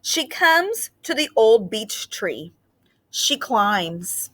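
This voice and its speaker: A woman teacher explaining reading ideas to children in a gentle, patient way with frequent fillers, then reading a picture book aloud expressively. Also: on the high side, sing-song, drawn-out words, slight background noise.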